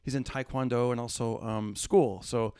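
A middle-aged man, a comedian, chatting casually on a podcast, rambling through animated stories. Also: mid-range voice, normal speed, smooth, no room sound, clean studio sound. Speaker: comedian guest.

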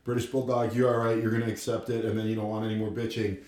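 A man's voice: on the low side, level tone, fast, a little mellow. A man co-hosting a podcast in loose, opinionated banter with crude, informal joking. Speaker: podcast co-host. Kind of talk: casual chat, opinionated banter. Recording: echoey room, distant from mic.

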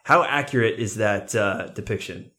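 A man interviewing a guest, asking casual, halting questions with many fillers. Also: on the low side, sharp tone. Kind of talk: podcast interview.

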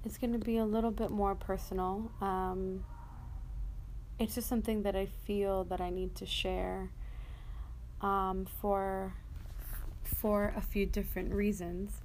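A young adult woman hosting a personal motivational podcast, speaking casually and candidly in a halting way with an occasional stutter. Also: mid-range voice, little pitch movement, darkish tone, poor audio. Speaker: podcast host. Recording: noticeable background noise.

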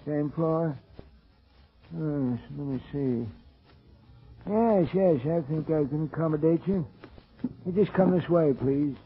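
An actor playing a forgetful, folksy old hotel keeper in a 1940s radio drama, rambling and repeating himself in rustic speech. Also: male voice, a little high, slow pace, pauses often. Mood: folksy, eerily matter-of-fact. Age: older. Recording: tinny, band-limited 1940s radio recording.

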